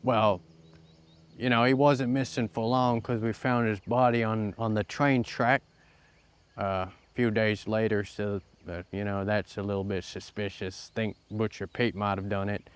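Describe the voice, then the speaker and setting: A man's voice: mid-range voice, steady tone, unhurried, pauses often, lightly acted. A man playing a chatty, apologetic Old West townsman with a put-on western accent, coughing and getting sick, who keeps calling people partner. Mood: gossipy. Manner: rambling, calls people partner. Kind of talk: roleplay in a western game.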